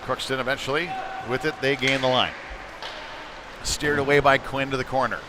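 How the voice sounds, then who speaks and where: A man's voice: mid-range voice, level tone, normal speed. Man calling hockey over noisy rink. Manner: clipped running phrases that follow the play. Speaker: play-by-play hockey commentator. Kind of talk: live local-stream sports commentary. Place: indoor ice rink during a game. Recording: noisy live rink broadcast. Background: loud ambient arena noise.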